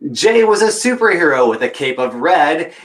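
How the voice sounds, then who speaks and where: A man's voice: fairly high pitch, sing-song, normal speed, a bit bright. A man reading a picture book aloud as a children's story time host, adding chatty, encouraging asides to young listeners.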